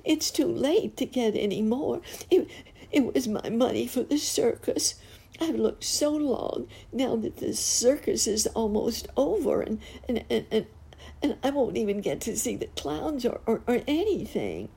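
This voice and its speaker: A woman's voice: fairly low pitch, sing-song, mellow, breathy edge, exaggerated voice. A woman voicing an emotional little girl in a storybook tale. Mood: tearful. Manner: halting, sobbing stammer when upset. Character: little girl, tearful, doubtful, then delighted.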